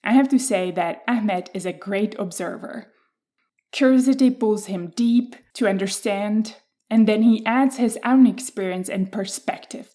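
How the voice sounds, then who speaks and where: Woman, podcast host, measured reflective delivery, mid-pitched voice. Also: mellow. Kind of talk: scripted podcast intro then interview.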